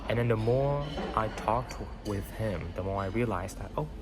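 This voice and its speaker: A young man with a very low voice talks loosely through a motivational story, his first language Vietnamese. He rambles with fillers and keeps checking in with "right?". Clear music plays behind him the whole time. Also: moderate pace. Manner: rambling, many fillers and tag questions. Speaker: podcast host. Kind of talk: casual motivational monologue. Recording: background music under voice.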